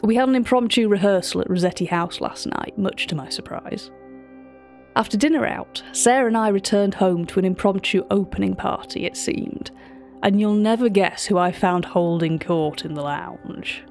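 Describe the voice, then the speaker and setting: An actress playing a chatty, wry British gallery writer, telling a story directly to a listener in audio drama narration. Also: female voice, mid-range voice, normal speed, gravelly, darkish tone, soft background music, lightly acted.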